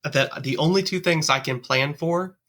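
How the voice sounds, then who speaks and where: A woman's voice: low voice, moderate pace, a bit bright. A woman guest on a podcast, answering frankly and thoughtfully. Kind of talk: casual interview answers.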